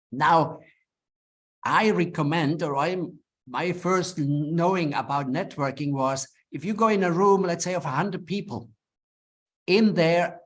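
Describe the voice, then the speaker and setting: A middle-aged man from Switzerland with a Swiss German accent, English not his first language, giving earnest, plain-spoken advice in a webinar talk with frequent restarts. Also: on the high side. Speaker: webinar speaker. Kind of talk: advisory talk to lawyers.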